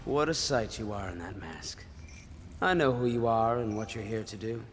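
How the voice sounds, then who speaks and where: A man's voice: lowish voice, normal speed, in a large hall, slight background noise, close to an everyday voice. An actor playing a wry, composed male conspirator in a video game, with dry, articulate, sardonic delivery.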